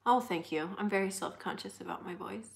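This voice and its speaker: Young woman, slightly squeaky high voice, casual livestream host, chatty, laughs a lot. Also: a little fast, gravelly. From United States. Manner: chatty, laughs often, reads out comments.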